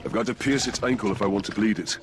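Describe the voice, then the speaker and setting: An actor playing a grim, determined human captain in a video game, dry and resolute. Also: male voice, lowish voice, steady tone, fast, heavy background noise, natural voice. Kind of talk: video game character acting. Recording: loud music over the voice.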